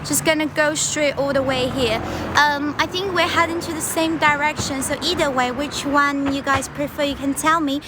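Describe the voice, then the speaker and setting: A woman's voice: sits high, steady tone, moderate pace, background noise. A young woman from China with a strong Chinese accent, chatting casually on a livestream while walking outdoors, with lots of fillers. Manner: chatty, switches between English and Mandarin, frequent fillers. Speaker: livestream host. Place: outdoors on a path in a wetland park.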